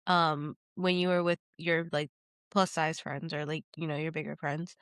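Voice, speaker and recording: A woman's voice: low voice, steady tone, normal speed, a bit bright, clear, resonant tone, no room sound, studio quality. A woman getting over a cold, sounding nasal, chatting as a podcast guest and rambling with lots of fillers. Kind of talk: casual conversation.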